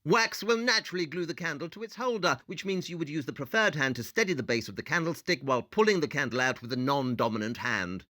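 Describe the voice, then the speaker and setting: Shrewd, self-assured male detective actor, audio drama; deliberate, theatrical, sharp voice with lively swings. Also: fairly high pitch, no room sound, clean studio sound.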